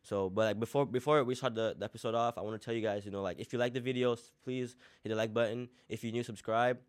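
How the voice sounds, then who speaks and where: Fast-talking eager young-sounding male host. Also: medium pitch, steady tone, clear, resonant tone. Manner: eager, filler-heavy with many "like" and restarts. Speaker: podcast host. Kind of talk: casual interview chat.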